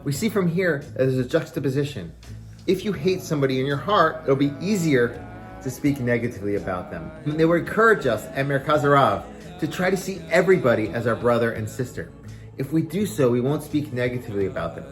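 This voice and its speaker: Male rabbi, mid-pitched voice, didactic sermon delivery, emphasis on key phrases, faint background music. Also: animated, a little fast. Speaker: rabbi giving a short Torah lesson. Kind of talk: short religious teaching, explanatory sermon.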